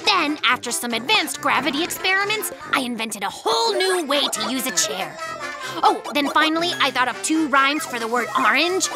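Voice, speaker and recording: An actor voicing an excitable, boastful young male bear cub in a children's cartoon, whiny and dramatic in delivery. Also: high-pitched, normal speed. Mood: boastful, rambling. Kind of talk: children's animated cartoon voice acting. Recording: music playing behind the voice.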